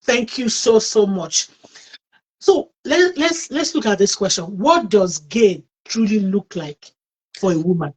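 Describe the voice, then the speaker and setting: A woman's voice: mid-range voice, animated, measured pace, husky, low-quality recording. A Nigerian woman in her thirties or older hosting an interview, thanking her guest effusively and often repeating words as she speaks. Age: 30s or older. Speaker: host.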